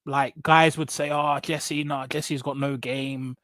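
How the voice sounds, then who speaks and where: A man's voice: medium pitch, flat delivery, slow, fairly bright tone, no echo, studio quality. A man from England with an English accent telling personal stories casually as a guest, blunt and emphatic, repeating phrases and often checking in with "right?". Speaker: podcast guest. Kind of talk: casual personal storytelling in conversation.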